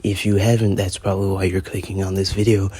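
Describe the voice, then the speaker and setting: Brief: teen boy, gaming YouTuber, deep husky voice, rambling and foul-mouthed review. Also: little pitch movement, quick pace, warm tone. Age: teens. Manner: rambling, profane, joking. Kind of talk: gameplay review commentary.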